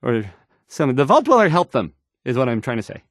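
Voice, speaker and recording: An actor playing a nervous, bumbling, insecure young radio DJ in a game, hesitant and stammering through the news. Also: male voice, medium pitch, big pitch swings, fast, dry recording, studio quality, natural voice. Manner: stammering, hesitant, self-doubting. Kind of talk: halting radio news announcing.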